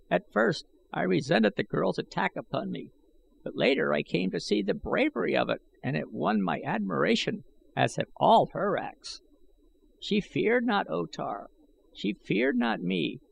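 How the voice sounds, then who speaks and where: A man voicing a bent, eager old man with excitable, old-fashioned pleading speech, as an audiobook character. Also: sits high, vocal fry, slightly rough, voice pushed up, heavily acted, rough recording. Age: older.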